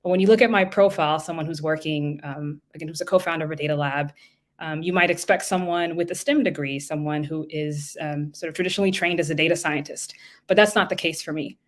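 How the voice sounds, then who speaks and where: A woman giving a lightning talk on a video call, articulate and measured, with frequent ums. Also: deep voice, steady tone. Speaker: guest speaker.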